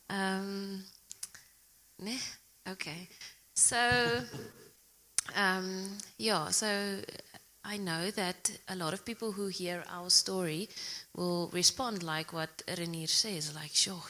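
A young woman from Zimbabwe sharing a personal testimony at church, earnest and soft-spoken, with many pauses and "um"s. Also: fairly low pitch. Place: church hall. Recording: long reverb of a church hall.

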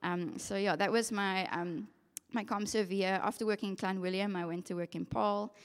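Young woman, South African English accent, earnest testimony, middle pitch, long hall reverb. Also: steady tone, normal speed. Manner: earnest, reflective storytelling with frequent ums and yeahs. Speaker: occupational therapist giving a testimony. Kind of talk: personal testimony to a congregation. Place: church hall with a masked audience.

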